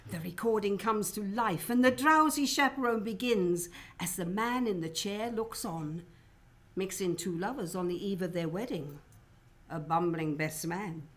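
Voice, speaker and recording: A woman's voice: medium pitch, measured pace, a bit bright. A woman reading scripted announcements and narration for a radio play. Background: music.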